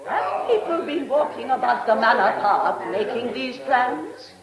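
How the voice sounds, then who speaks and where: An imperious older lady of the manor in a radio drama. She is high-voiced and swooping, haughty and sharp-witted, in an echoey hall. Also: sing-song, a little slow, a crowd in the background. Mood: imperious, challenging. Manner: haughty, crisp, dryly cutting. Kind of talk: radio play acting.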